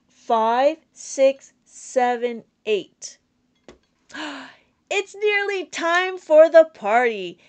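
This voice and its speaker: A middle-aged woman reading a children's counting book aloud as a teacher, animated and sing-song, drawing out words for young children. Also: high voice. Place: small indoor room at home.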